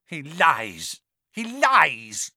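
A man voicing a cruel, snake-faced dark wizard with a high, hissing, snarling voice in an audiobook. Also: huge pitch swings, drawn-out words, no room sound, clean studio sound, full character voice. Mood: shrill, accusing. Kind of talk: dramatic audiobook character voice.

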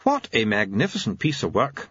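A man's voice: fairly low pitch, huge pitch swings, moderate pace, a bit bright, breathy edge, no echo, muffled recording, heavily acted. A man voicing a mild-mannered, genial, chatty doctor with effusive manners, in an audiobook. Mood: admiring.

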